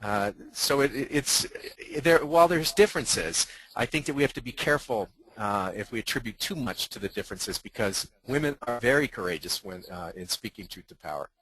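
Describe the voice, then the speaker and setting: Bright-voiced man, earnest panelist. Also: mid-range voice, animated, fairly quick, hardly any pauses, slightly rough, poor audio. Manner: earnest, emphatic, storytelling. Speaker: leadership workshop speaker. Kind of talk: webinar panel discussion.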